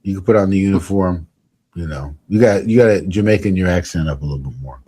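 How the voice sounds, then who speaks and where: A man's voice: deep voice, fast talker, warm tone, slightly rough. A man hosting a comedy podcast, chatting casually in a rambling, joking way. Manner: rambling, jokey, restarts a lot. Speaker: podcast host.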